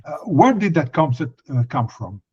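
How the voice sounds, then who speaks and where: A man interviewing a guest, laying out his question in measured, hesitant phrases with pauses. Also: fairly high pitch, very animated, darkish tone, breathy edge, lo-fi sound.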